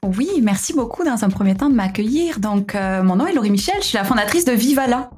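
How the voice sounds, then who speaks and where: Woman, southern French accent, hesitant and chatty, laughs easily, medium pitch. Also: expressive, fast, darkish tone, breathy edge, faint music behind. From southern France. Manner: hesitant, many fillers and restarts, laughs often. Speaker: podcast guest, founder of a digital wellbeing company. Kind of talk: conversational interview.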